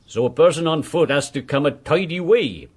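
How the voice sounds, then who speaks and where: An actor playing a chatty, deferential village postmaster and old soldier in a period radio drama. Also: male voice, on the high side, expressive, moderate pace, a little mellow, husky. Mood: chatty, inquisitive. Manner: garrulous, deferential, inquisitive. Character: chatty, deferential village postmaster, a one-legged former army corporal.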